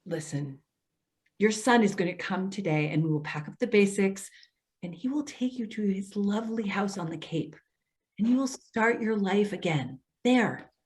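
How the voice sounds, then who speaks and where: A concerned female social worker in a stage drama, acted by a woman. She is patient and earnest, coaxing as she talks. Her voice sits at an ordinary middle pitch for a woman. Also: animated, normal speed, a little mellow, natural voice. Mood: firm, coaxing. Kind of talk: readers theater stage acting.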